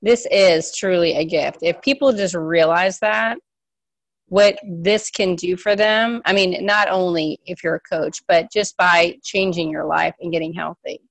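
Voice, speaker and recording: Woman new coach, quick animated storytelling, close dry mic. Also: fairly low pitch, gravelly, no echo. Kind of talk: casual storytelling.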